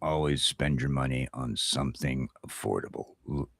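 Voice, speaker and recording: A man's voice: a bass voice, fairly even intonation, moderate pace, gravelly, fairly bright tone, no room sound, studio quality. A man in casual chat as a talk show host, blunt and opinionated, swearing and often stammering over repeated words.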